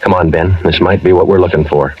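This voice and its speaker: An American actor playing a terse, weary police sergeant in a 1950s radio drama, narrating in a clipped, matter-of-fact way. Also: male voice, sits low, fairly even intonation, fast, very noisy, natural voice. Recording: dull vintage radio recording, loud music.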